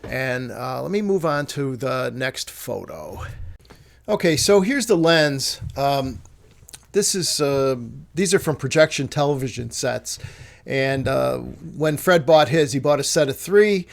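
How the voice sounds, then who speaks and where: A man giving a casual, explanatory talk as an amateur radio hobbyist, halting and correcting himself as he works through technical details. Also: mid-range voice, animated, creaky. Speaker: amateur radio hobbyist presenting his project.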